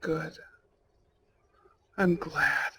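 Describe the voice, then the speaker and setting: Man playing a husky, slow-spoken devoted mafia husband. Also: fairly high pitch, fairly even intonation, pushed and bright, heavily acted. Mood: tender, loving. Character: devoted, tender mafia heir, newlywed husband and anxious father-to-be. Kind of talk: romantic ASMR audio roleplay.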